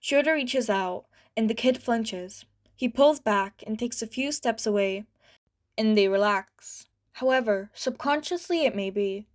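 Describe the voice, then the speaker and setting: A woman reading fan fiction aloud as a podfic, narrating in a light, steady way. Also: on the high side, unhurried. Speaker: podfic reader.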